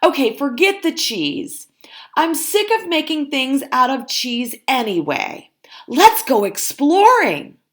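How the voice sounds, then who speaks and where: A woman's voice: high voice, sing-song, takes it slowly, a bit bright, pitched above the performer's own voice, heavily acted. A woman voicing a bold, restless young girl mouse from a storybook, emphatic and hungry for adventure. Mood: fed up. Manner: emphatic, declarative.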